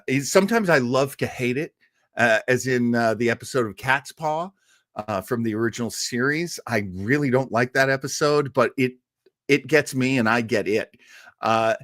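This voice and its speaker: Male host, mid-pitched, rambling, filler-heavy livestream chatter on a dry close mic. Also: a bit bright, dry recording. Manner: reads out and answers viewer comments. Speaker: host of an old-time radio livestream. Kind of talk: casual livestream chat.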